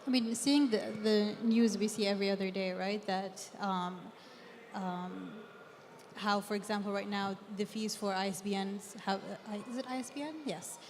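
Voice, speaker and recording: A woman's voice: medium pitch, steady tone, normal speed, slight background noise. A woman on a book fair panel, talking in a hesitant, unsure way. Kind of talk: panel discussion.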